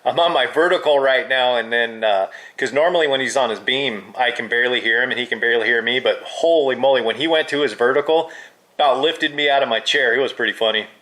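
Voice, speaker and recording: Bright-voiced man chatting over two-way radio. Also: mid-range voice, fast. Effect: two-way radio transmission. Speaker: amateur radio operator. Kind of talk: casual radio chat between hobbyists. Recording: crackly two-way radio audio.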